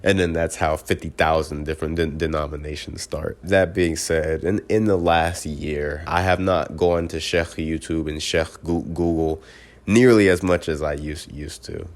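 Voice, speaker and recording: Congested man, extremely deep and fairly monotone, earnest solo vlogger who restarts words. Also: moderate pace. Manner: earnest, often restarts words mid-syllable. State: congested from a cold. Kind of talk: casual personal video reflection.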